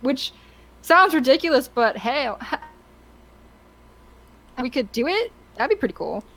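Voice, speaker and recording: A woman co-hosting a podcast, talking casually and with opinions in a halting, stop-start way as she searches for words. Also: high voice, big pitch swings, fairly bright tone, poor audio. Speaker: podcast co-host.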